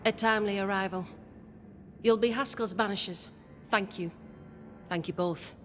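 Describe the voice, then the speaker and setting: An actress playing a proud, devoted wife who leads settlers in a video game, speaking formally and solemnly. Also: female voice, mid-range voice, steady tone, moderate pace, soft background music, close to an everyday voice. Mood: grateful. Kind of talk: video game cutscene acting. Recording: long hall-like reverb.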